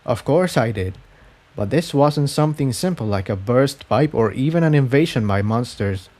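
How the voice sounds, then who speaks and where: A man's voice: medium pitch, animated, normal speed, a little mellow, lightly acted. A man voicing a serious, burdened male pony in a fantasy adventure story, earnest and brooding as he explains. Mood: resigned explaining. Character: earnest, burdened male pony, former water department head.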